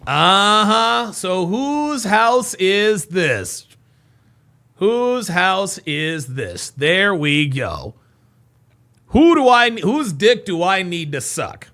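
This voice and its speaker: A man giving casual live reaction commentary as a host, mockingly repeating what others say in an animated way. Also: high voice, drawn-out words.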